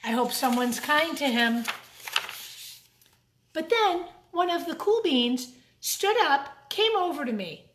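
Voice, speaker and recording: Female storytime reader: high voice, unhurried, expressive, addressing young kids, in a roomy, echoing space. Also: background noise. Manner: expressive, simple asides to young listeners. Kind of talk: picture-book read-aloud for children with asides. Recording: roomy, echoing room sound.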